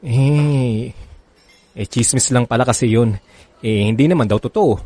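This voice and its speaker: A man's voice: medium pitch, unhurried, dark tone. A man playing an evasive married lover in a radio drama, hesitant and placating as he soothes his girlfriend. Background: background music.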